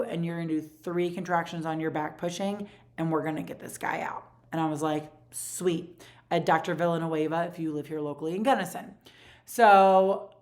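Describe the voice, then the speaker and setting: A woman in her forties with a low voice, telling a personal story in a casual, animated way with lots of fillers. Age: 40s. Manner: animated, rapid retelling with many fillers. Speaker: vlogger.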